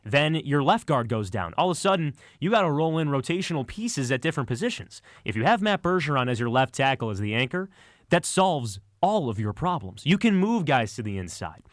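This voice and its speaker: A man's voice: fairly high pitch, big pitch swings, brisk, no room sound. A man co-hosting sports talk radio, explaining his analysis earnestly and conversationally, now and then stumbling over words, on a studio mic. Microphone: close studio microphone.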